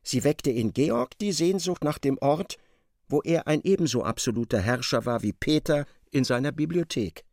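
A man giving expressive literary narration in a radio drama, as a storyteller. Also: a little high, normal speed, no room sound, clean studio sound.

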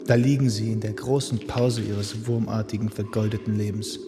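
An actor playing a lonely, brooding young man in a radio play, speaking slowly and often in a hush. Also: fairly low pitch, flat delivery, music in the background, natural voice. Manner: slow, brooding monologue, often hushed or whispered. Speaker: Kuno, lead in a radio play. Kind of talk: radio drama acting.

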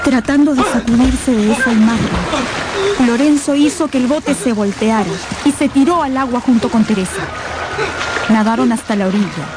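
Higher-pitched woman narrating a radio novel. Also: moderate pace, heavy background noise. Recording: clear music behind the narration.

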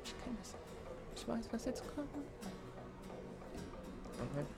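A man's voice: very high-pitched, big pitch swings, extremely slow, gravelly, loud music behind the voice, exaggerated voice. A man playing a timid, anxious figure in a comic radio play, pleading and repeating himself in dread. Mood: fearful pleading. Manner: pleading, repetitive.

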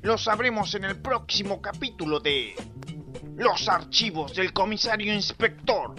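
An actor playing a grandiose narrator of a mock-heroic police serial, melodramatic, with an Argentine accent, in old radio style. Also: male voice, sits high, lively intonation, unhurried. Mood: excited announcing. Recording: music behind the voice.

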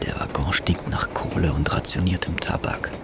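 A man acting in a radio drama, speaking in terse, hushed asides. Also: sits low, steady tone, normal speed, heavy background noise, close to an everyday voice.

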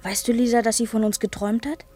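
A woman's voice: on the high side, flat delivery, fast, mellow, faint music behind, natural voice. An actress playing a young village girl, confiding and matter-of-fact, in a German radio play. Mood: secretive, sober. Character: young village girl quietly sharing what she overheard.

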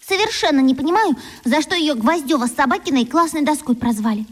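A woman's voice: sits high, lively intonation, fast talker. A pleading little schoolgirl. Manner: pleading, gushing, breathy. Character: eager, adoring girl of about eleven.

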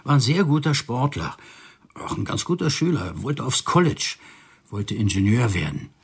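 A man's voice: mid-range voice, expressive, normal speed, slightly rough. An actor playing an older farmer father in a radio drama, speaking in a weary, resigned manner.

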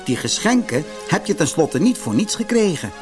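A man's voice: fairly high pitch, big pitch swings, normal speed, over music, voice pushed up. A man voicing a kindly, earnest good fairy in a storybook, solemn and reassuring. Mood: kind, encouraging. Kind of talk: fairy-tale storybook reading.

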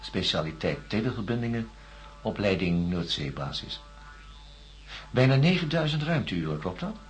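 An actor playing a doctor in a radio drama, questioning a patient in a measured, coaxing, methodical way. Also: male voice, on the low side, sing-song. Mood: measured, probing. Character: probing, reassuring male doctor interviewing a patient. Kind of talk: science-fiction radio drama acting.

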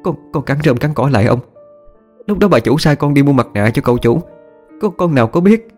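A man's voice: on the high side, expressive, a little fast, a little mellow, soft background music, voice pushed up. A man voicing a terrified young servant girl who stammers and begs submissively in an audio horror story. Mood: terrified, pleading. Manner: stammering, timid pleading.

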